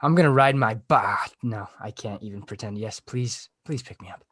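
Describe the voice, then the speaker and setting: A man's voice: on the low side, moderate pace, close to an everyday voice. A man playing an awkward, sarcastic, petulant teenage boy in a comedy script read, whiny and prone to stammering.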